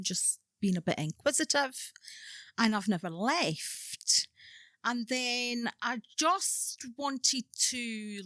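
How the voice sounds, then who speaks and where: A woman in her fifties tells her story as a podcast guest, relaxed and unhurried, often letting her sentences trail off softly. Her voice is bright and clear. The mic is close and dry, with no room sound. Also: medium pitch, no echo. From Scotland. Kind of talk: casual interview chat.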